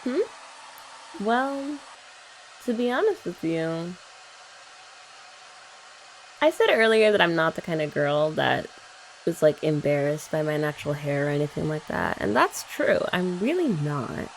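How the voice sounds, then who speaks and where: Soft-spoken young woman, lowish voice. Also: lively intonation, a little slow, vocal fry, pauses often, a little hiss or hum, low-quality recording. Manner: soft, chatty and sweet, often laughing softly. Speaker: ASMR roleplay creator. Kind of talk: girlfriend roleplay ASMR.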